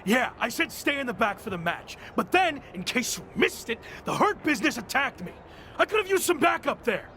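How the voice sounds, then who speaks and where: A man's voice: extremely high pitch, sing-song, a little fast, bright, forceful tone, slight background noise, exaggerated voice. A man playing a proud, headstrong young champion wrestler, insistent and defiant, in a video game cutscene. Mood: angry.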